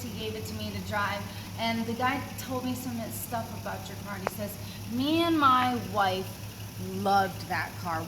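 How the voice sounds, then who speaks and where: High-voiced slangy woman with noisy background. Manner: animated, slangy, profane. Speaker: former car owner being interviewed. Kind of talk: casual chat, storytelling. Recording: noisy recording with vehicle ambience. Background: vehicle and ambient noise.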